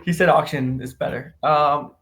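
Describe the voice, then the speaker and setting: A man's voice: fairly high pitch, steady tone, moderate pace, a bit bright. A man with an American accent chatting casually with a friend as co-host of a podcast. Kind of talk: casual banter between friends.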